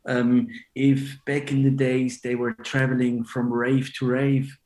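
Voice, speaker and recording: A man's voice: medium pitch, completely flat delivery, a little slow, a little mellow. Swiss-raised man of forty, echoey room. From Switzerland. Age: 40s. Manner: rambling, many fillers and restarts. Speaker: DJ guest. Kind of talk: casual interview chat.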